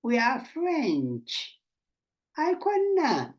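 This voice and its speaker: A woman teaching a beginner language lesson, reading short sentences clearly and deliberately, one by one. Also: sits high, wildly swooping pitch, lo-fi sound. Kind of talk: slow drill-style language lesson.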